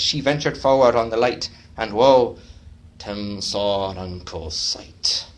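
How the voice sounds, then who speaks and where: A man giving a dramatic poetry recitation at a speaking club in a put-on Scottish brogue, swinging from loud declaiming to hushed low lines. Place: club meeting room.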